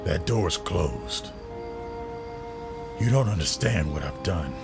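An actor playing a bitter, tormented villain torn between two sides in an audio drama. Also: male voice, low voice, expressive, takes it slowly, gravelly, loud music behind the voice, full character voice. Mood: bitter, brooding.

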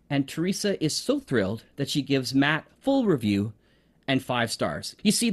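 Male presenter, slightly high voice, very animated intonation, enthusiastic, emphatic, conversational promo. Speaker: presenter promoting an online marketplace. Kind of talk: promotional pitch, conversational explainer.

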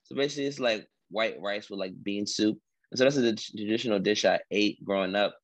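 A young man, a native Haitian Creole speaker, talks haltingly about his art on a video call, with many fillers. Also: fairly low pitch, no echo. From Washington, D.C. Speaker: artist. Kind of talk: video call artist talk. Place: room at home. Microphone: laptop video call. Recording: dull video call audio.

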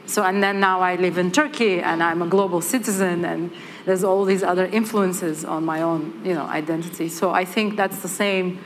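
A woman from Azerbaijan whose first language is Russian, speaking on a conference panel through a lapel mic, thoughtful and full of "you know"s. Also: lowish voice. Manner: thoughtful, filler-heavy with many "you know"s. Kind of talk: panel discussion. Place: large conference hall stage. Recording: reverberant hall with faint noise.

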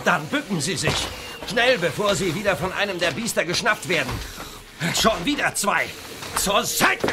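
A man's voice: high-pitched, lively intonation, moderate pace, music in the background. A man playing a brave, loyal young hero in a German audio drama, speaking with urgent energy. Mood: brisk.

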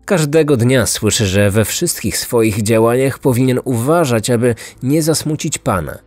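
A man with a mid-pitched voice narrates true crime from a script. He is a measured storyteller and podcast host, with faint music underneath. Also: animated, a little mellow, no echo.

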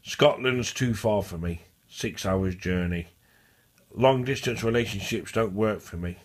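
A man speaks in a fairly low voice, rambling casually to his viewers. He stutters, restarts often and circles back over the same phrases. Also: unhurried. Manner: repetitive, restarts and repeats phrases. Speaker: vlogger. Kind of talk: casual rambling monologue to camera.

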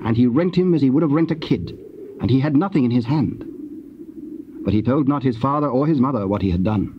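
A man narrating scripture from a script in a solemn, formal, measured storytelling manner. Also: mid-range voice. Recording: dull, noisy old cassette tape.

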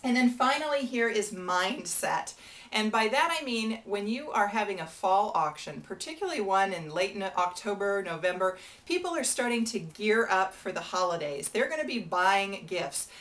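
An American woman in her thirties talks straight to the camera, sharing auction advice in a friendly, chatty way. Her voice sits in an ordinary middle pitch. She is recorded in a small indoor room. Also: lively intonation, moderate pace, a bit bright. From United States. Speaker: benefit auctioneer giving advice. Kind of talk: casual to-camera advice talk.

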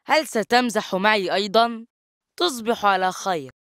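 An actor voicing a calm, sensible teenage boy, the wise one of the family in a cartoon. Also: mid-range voice, normal speed, no echo, clean studio sound, close to an everyday voice. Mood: calm, reproving. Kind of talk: animated cartoon dialogue.

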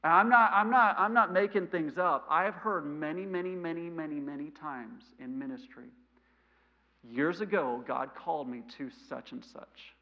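A man in his thirties with a mild stutter, preaching a sermon in a church sanctuary, animated and gesturing, swinging between loud emphasis and quiet asides. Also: on the high side, normal speed. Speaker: missionary preacher. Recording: lo-fi church recording.

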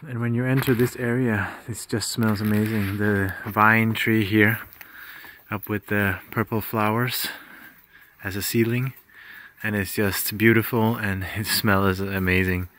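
Male vlogger, 30s, relaxed and rambling, lower pitch, phone at arm's length outdoors, faint ambient noise. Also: measured pace. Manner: relaxed, chatty, with fillers and restarts. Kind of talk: casual travel vlog narration. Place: outdoors on a hillside farm.

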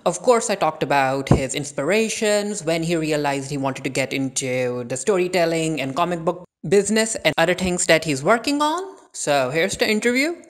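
Man introducing an interview, higher-pitched voice. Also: lively intonation, moderate pace, few pauses.

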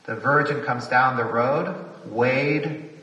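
A man's voice: medium pitch, slow, sharp tone, roomy sound, in a large hall, distant mic, highs cut off. A man, a priest, preaching a reflective homily at a retreat, repeating phrases for emphasis.

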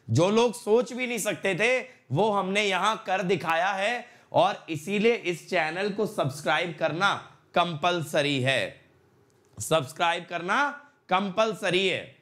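Young male tutor, sore throat, high pitch, unhurried, repeats himself, lapel mic in a studio. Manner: repetitive, energetic, coaxing viewers with "my brother". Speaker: exam-prep educator. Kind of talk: online live class intro, Hindi-English mix. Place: studio in front of a slide screen.

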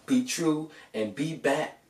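Children's story host: young American male, slightly high voice, informal and familiar, recorded roomy and a bit distant. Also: takes it slowly. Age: young adult. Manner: informal, slangy, addressing listeners familiarly. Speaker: host of children's read-aloud bedtime story show. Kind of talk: casual chat to children and storybook reading. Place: small room at home, indoors. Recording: echoey room, distant camera microphone.

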